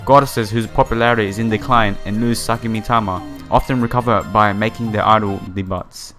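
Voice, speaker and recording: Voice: medium pitch, fairly even intonation, fairly quick, a bit bright. A gamer narrating a visual novel playthrough, reading text aloud and stumbling here and there, with casual slangy asides. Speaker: let's player. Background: background music.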